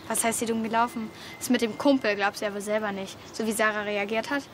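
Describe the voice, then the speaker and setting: A woman's voice: mid-range voice, level tone, fast talker, close to an everyday voice. A young actress playing a hurt, curt teenage girl in a naturalistic TV drama, outdoors. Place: garden yard, outdoors. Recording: noisy outdoor ambience.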